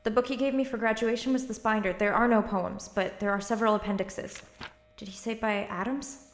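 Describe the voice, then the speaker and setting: A woman's voice: medium pitch, steady tone, fairly quick, lightly acted. A woman playing a determined, anxious young college student in an audio drama. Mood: urgent. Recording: roomy, faintly noisy recording.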